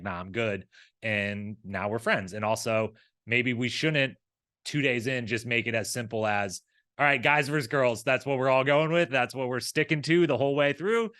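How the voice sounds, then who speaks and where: A man's voice: fairly low pitch, a bit bright, dry recording, clean studio sound. A man co-hosting a recap show with casual, conversational commentary, rambling along and often acting out imagined dialogue.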